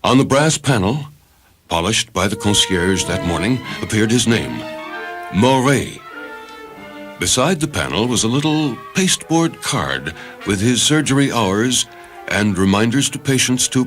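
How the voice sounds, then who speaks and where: A man's voice: mid-range voice, big pitch swings, a little slow. A man reading a literary short story as narrator, with dramatic scripted delivery. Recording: faint music behind the narration.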